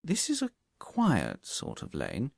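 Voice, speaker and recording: Man reading as a precise, animated detective. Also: mid-range voice, creaky, no room sound, studio quality, pitched above the performer's own voice, exaggerated voice. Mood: measured, analytical. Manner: measured, precise, explanatory. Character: calm, shrewd Victorian male detective, politely methodical.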